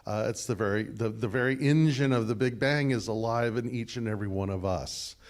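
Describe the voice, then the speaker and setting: A man's voice: mid-range voice, a little fast. Guy with a big voice riffing through a sermon reply, lots of ums and restarts. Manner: animated, discursive, many fillers and restarts. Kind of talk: conversational sermon dialogue.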